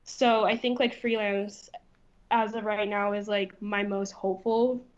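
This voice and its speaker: A woman's voice: mid-range voice, level tone, a little slow, clear, resonant tone. Young woman from puerto rico, spanish is her first language, chatty, lots of "like", bit muffled lo-fi sound. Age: young adult. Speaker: guest, art student. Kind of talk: casual podcast chat. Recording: slightly dull lo-fi sound.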